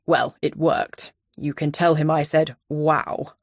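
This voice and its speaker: Casually confident male house viewer played by a woman: low-pitched, breezy, probing, audiobook drama. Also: little pitch movement, slow pace, dry recording, studio quality, exaggerated voice.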